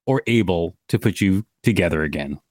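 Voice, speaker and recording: A man's voice: low-pitched, animated, normal speed, a little mellow, no room sound, clean studio sound. A man hosting a podcast, reading reference text aloud and adding casual commentary. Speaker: podcast host.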